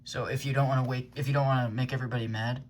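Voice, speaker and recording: A man's voice: mid-range voice, level tone, racing, heavy background noise. A man giving a casual, unscripted product review as a hobbyist, thinking aloud in a loose, hesitant way. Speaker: weather radio hobbyist reviewing gear.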